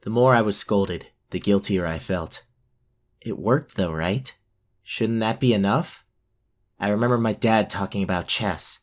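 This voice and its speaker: A man's voice: fairly low pitch, measured pace, close to an everyday voice. A man playing a brave but self-doubting young fantasy warrior, narrating his own story in an audio drama. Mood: earnest narration.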